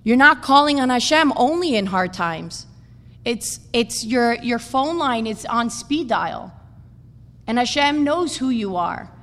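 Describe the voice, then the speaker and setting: A woman's voice: fairly high pitch, expressive, a little slow, fairly bright tone. A woman giving an inspirational talk, earnest and repeating key phrases for emphasis. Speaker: speaker giving a blessing. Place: hall. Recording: reverberant hall.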